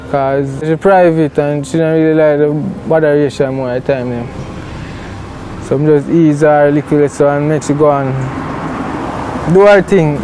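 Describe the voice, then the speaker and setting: A man's voice: a little high, low-quality recording. A man with a Jamaican accent recounting events casually in Patois, often repeating himself as an interviewee. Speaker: interviewee, relative of a missing person.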